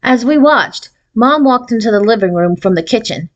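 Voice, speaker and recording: A woman's voice: a little high, animated, normal speed, lo-fi sound. Woman reading a children's book aloud. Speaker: audiobook reader. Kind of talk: expressive read-aloud of a children's novel.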